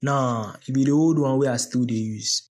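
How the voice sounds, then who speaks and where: A man with a Ghanaian accent and a husky voice playing a defensive, resentful teenage son from a poor home in an audio drama. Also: medium pitch, moderate pace, darkish tone, close to an everyday voice. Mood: casual, defensive. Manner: sullen, defensive.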